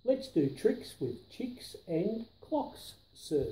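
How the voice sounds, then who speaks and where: A man's voice: high voice, big pitch swings, drawn-out words, warm tone, reverberant, voice pushed up, full character voice. An older man voicing a bossy, playful fox from a storybook who sets tongue-twister challenges in an insistent sing-song way.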